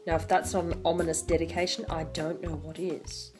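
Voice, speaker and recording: Low-voiced young woman with music behind. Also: flat delivery, a little fast, a little mellow. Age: 20s. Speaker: online video host. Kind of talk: casual commentary and theatrical picture-book reading.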